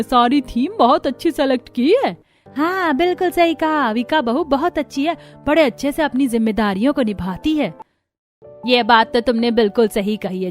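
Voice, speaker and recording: A woman's voice: on the high side, lightly acted. A woman voicing chatty, opinionated women of an Indian household in a cartoon family story.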